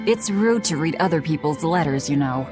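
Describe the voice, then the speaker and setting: A woman's voice: low-pitched, expressive, moderate pace, music in the background. An actress playing a tough young woman fighter, dry, terse and mission-minded, in a video game. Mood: brisk.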